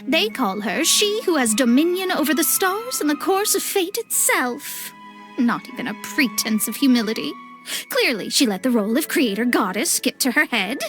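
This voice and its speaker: A woman's voice: high voice, very animated, normal speed, fairly bright tone, soft background music. A woman voicing a proud, dramatic young astrologer in a video game, wry and haughty in manner. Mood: indignant. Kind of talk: video game cutscene acting.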